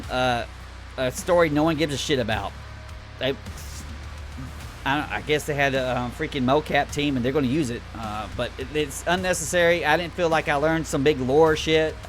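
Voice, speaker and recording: Blunt, rambling man reviewing a game, music behind. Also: a little high. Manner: rambling, blunt, griping, sweary. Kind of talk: unscripted casual game review commentary.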